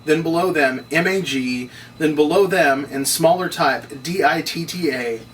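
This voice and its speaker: Higher-voiced male narrator, distant mic. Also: unhurried, a bit bright. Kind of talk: reading stage directions at a table read. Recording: distant mic, noisy room.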